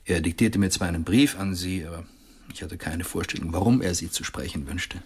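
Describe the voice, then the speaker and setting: An actor playing a correct, efficient private secretary in a radio play, with a clipped manner. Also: male voice, low voice, fairly quick, breathy edge. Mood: correct, guarded. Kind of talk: German radio play acting.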